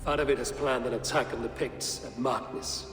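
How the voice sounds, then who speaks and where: An actor playing a steady, loyal Viking warrior who speaks calmly and earnestly, in a game scene with a faint music score and long reverb. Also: male voice, mid-range voice, lightly acted. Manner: calm, measured, earnest.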